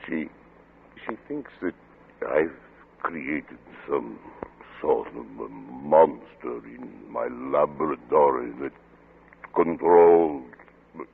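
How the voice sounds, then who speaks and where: A man acting an obsessed, agitated scientist in a 1940s radio suspense play, with intense, halting delivery and a gravelly voice. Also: rumbling low, heavily acted. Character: obsessed, agitated scientist doctor husband turning slyly deceitful. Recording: noisy, narrow-band old radio recording.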